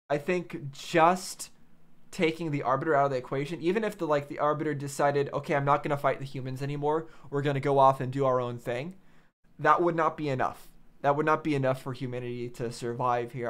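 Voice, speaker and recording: A man hosting a reaction video, giving casual, unscripted commentary and repeating phrases for emphasis. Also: mid-range voice.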